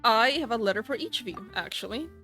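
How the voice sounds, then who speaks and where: A woman streaming a game with casual live reaction commentary, slangy, sardonic and quick to interject. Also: a little high, animated, sharp tone, faint music behind.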